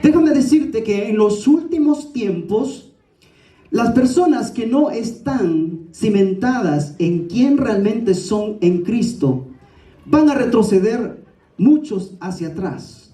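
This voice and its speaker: A male preacher with a high-pitched but very soft, dark-toned voice. He teaches and exhorts with direct questions to his listeners. The sound is roomy and echoing. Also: expressive, slow. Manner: didactic and exhorting, with rhetorical questions and direct address. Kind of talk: sermon. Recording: echoey room, distant from the mic.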